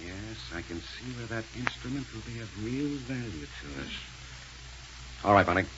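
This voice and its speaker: An actor playing a ruthless, impatient gang leader who snaps orders, in a 1930s radio serial style. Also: male voice, on the low side, animated, normal speed, bright, forceful tone. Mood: brisk, commanding. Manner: curt, bossy, snapping orders. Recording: muffled, noisy 1930s radio recording.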